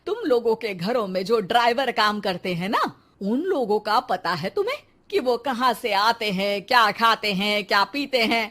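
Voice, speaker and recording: A woman's voice: on the high side, animated, moderate pace, hardly any pauses, a bit bright. A woman voicing a thrifty, firm middle-aged mother-in-law in a cartoon story, giving motherly advice in a scolding tone. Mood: advising. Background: background music.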